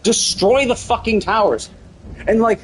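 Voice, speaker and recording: A man's voice: high voice, unhurried, slight background noise. A man livestreaming casual game commentary, ranting in an exasperated, emphatic way and swearing freely. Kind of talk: casual gameplay commentary rant.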